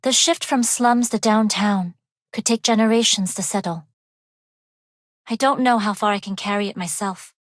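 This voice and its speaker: A woman voicing a refined young underworld heiress in a video game, speaking in a composed, measured and formal way. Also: mid-range voice, no room sound, clean studio sound, lightly acted. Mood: reserved, reflective. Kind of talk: scripted game character voice lines.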